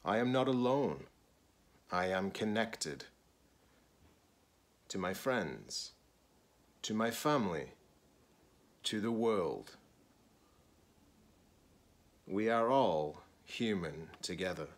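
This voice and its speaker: A middle-aged man, a teacher-librarian, reading a children's picture book aloud deliberately, in short phrases with pauses. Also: fairly low pitch, expressive.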